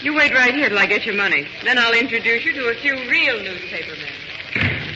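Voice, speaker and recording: An actress playing a brisk, wry newspaper secretary in a vintage radio drama, with a clipped, efficient manner. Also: female voice, fairly high pitch, expressive, natural voice. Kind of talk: 1940s radio drama acting. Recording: very noisy, muffled old radio recording.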